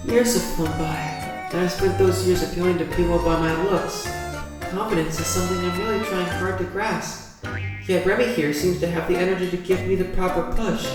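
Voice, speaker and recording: Low-voiced, shy young model played by a woman. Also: fairly even intonation, a little fast, music in the background, natural voice, low-quality recording. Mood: self-doubting, wistful. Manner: hesitant, soft-spoken, stammering. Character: shy, self-doubting young female fashion model. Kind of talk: fan audio drama acting.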